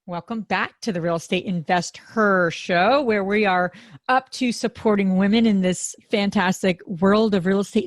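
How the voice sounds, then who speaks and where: A woman's voice: medium pitch, no room sound, clean studio sound. Chatty woman podcast host with fillers. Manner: warm rambling delivery with many fillers. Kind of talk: casual podcast hosting.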